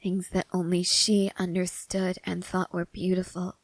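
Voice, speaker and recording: A woman playing a haunted, restless young woman soldier in an intimate ASMR character roleplay, speaking haltingly and broodingly with pauses. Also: on the low side, completely flat delivery, dry recording. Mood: wistful. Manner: slow, halting, brooding delivery with long pauses.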